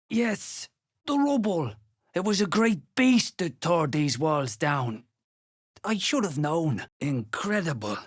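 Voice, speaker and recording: A man's voice: a little high, dry recording, studio quality. A man voicing a weary, scholarly dwarf stonemason in a video game, courteous and curious, with an ornate, musing way of talking. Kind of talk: video game character acting.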